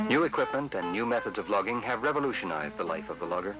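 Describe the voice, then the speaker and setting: A man's voice: lowish voice, animated, fast, rough recording. A man reading scripted narration for an old industrial documentary film. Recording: old film soundtrack under loud music. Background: prominent music.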